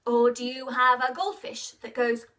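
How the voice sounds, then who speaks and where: High bright-voiced woman, children's storyteller. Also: normal speed, distant mic. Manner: expressive, asks young listeners questions, encourages them to act along. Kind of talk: interactive children's storytime narration.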